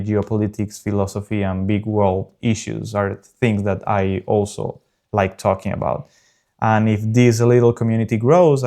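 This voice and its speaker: A man in his early thirties from South America, a native Spanish speaker with a South American Spanish accent, talking casually and earnestly over gameplay as host of his gaming channel, with frequent pauses. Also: lowish voice, warm tone. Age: early 30s.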